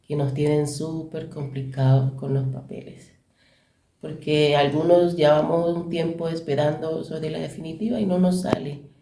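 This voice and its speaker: A woman's voice: low-pitched, warm tone. A woman on staff giving an earnest personal testimony with fillers. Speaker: staff member. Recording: echoey room.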